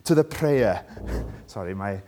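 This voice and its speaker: Slow-paced Welsh man preaching. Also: fairly low pitch, lively intonation, dark tone, slight background noise. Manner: conversational, asks listeners rhetorical tag questions. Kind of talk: sermon with scripture reading.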